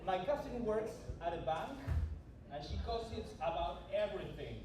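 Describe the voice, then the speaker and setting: A man from Argentina doing open mic stand-up in a bar, halting and self-deprecating, muttering asides between jokes. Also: high-pitched, expressive, music in the background. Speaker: stand-up comedian. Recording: echoey bar from a distance, loud room noise.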